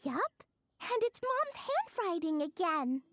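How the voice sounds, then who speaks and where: A woman's voice: very high-pitched, big pitch swings, takes it slowly, heavily acted. A woman voicing a small, sweet, eager and mischievous little girl in a video game, with an earnest childlike manner. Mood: matter-of-fact. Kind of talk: animated video game voice acting.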